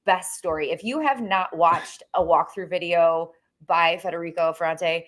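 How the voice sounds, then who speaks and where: Chatty woman with a low-ish voice. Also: moderate pace. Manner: bubbly, chatty, uses "like" and "y'all". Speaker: co-host. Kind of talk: casual promotional chat.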